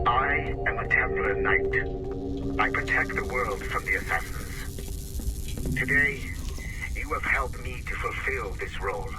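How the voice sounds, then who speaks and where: An actor playing a cold, vengeful Templar knight, speaking in a measured, solemn, deliberate way. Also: male voice, mid-range voice. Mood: solemn, controlled. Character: cold, menacing Templar knight, vengeful, contemptuous of his enemies. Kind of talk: dramatic scripted monologue. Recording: loud music over the voice.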